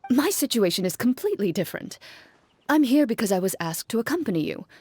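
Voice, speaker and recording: A woman playing a composed, reserved, dry-witted character in an English fantasy video game, speaking in a measured, understated way. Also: on the high side, big pitch swings, darkish tone, slightly rough, dry recording. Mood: matter-of-fact.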